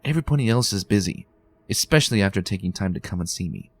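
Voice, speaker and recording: A man's voice: lowish voice, animated, fast talker, slightly rough. A man voicing a bookish, determined young female unicorn pony in a fan reading, earnest and anxious. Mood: insistent.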